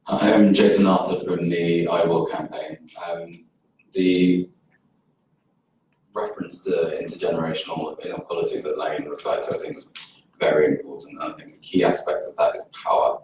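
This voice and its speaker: A man from a campaign group asking a panel a question from the floor, measured and articulate. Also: low voice, steady tone, a little mellow, slight background noise. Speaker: audience member, campaigner. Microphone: handheld microphone. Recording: echoey hall, distant mic.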